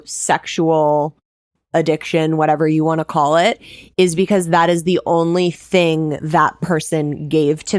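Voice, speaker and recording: A woman's voice: sits low, no echo, studio quality. A woman hosting a podcast, talking candidly and emphatically in a casual, sweary way. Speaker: podcast host. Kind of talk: candid personal podcast talk.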